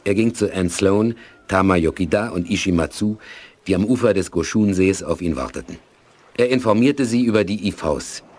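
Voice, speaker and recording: A man narrating a scripted radio play in a measured storytelling manner. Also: sits low, darkish tone, soft background music.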